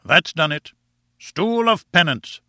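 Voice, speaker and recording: A man voicing a huge, pompous, mocking husband in an audiobook, booming and rumbling as he blusters. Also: lively intonation, takes it slowly, sharp tone, no room sound, studio quality, full character voice. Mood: gruff, sardonic. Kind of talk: audiobook character acting.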